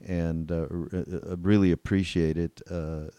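An older man hosting a podcast in casual chat, with a meandering, halting delivery. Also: low voice, flat delivery, mellow, dry recording. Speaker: podcast host.

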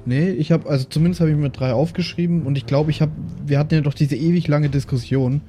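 Mellow-voiced man over soft music. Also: on the high side, steady tone, quick pace. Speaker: tabletop role-playing player. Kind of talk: casual game chat. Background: background music.

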